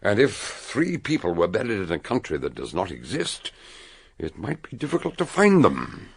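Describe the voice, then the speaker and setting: An older actor with a British accent playing a shrewd, ponderous gentleman detective in a radio drama, courteous, musing and husky. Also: on the low side, wildly swooping pitch, moderate pace, heavily acted. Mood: musing, probing. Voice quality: gravelly, husky. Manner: courteous, hesitant, musing with ers. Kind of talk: radio drama acting and narration.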